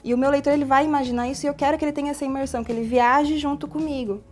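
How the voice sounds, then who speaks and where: A Brazilian woman with an accent from São Paulo's interior, an author explaining her novel at length in a lively, talkative way in a TV interview. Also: sits high, rapid-fire, faint music behind. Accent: Brazilian Portuguese, São Paulo interior. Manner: talkative, animated, long explanations. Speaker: novelist guest. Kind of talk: TV studio interview.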